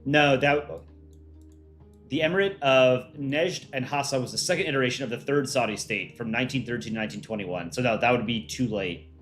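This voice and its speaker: A man's voice: medium pitch, brisk, a bit bright, soft background music. A man hosting an art contest on a livestream, reading out viewer chat and answering it offhand in casual talk.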